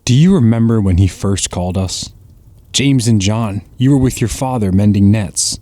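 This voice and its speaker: A man's voice: lowish voice, normal speed, dark tone, no room sound, close to an everyday voice. A man playing a humbled, guilt-ridden fisherman disciple in an audio drama, speaking earnestly and with self-reproach. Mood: wistful.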